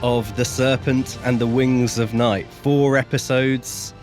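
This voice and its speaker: A man of average pitch co-hosts a podcast in a casual way. He speaks slowly, and a little music sits behind his voice. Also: fairly even intonation, darkish tone. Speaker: podcast co-host. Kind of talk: casual chat.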